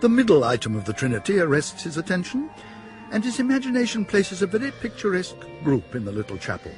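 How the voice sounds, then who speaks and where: A man narrating a radio drama in a measured, wry manner. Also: sits high, wildly swooping pitch, soft background music.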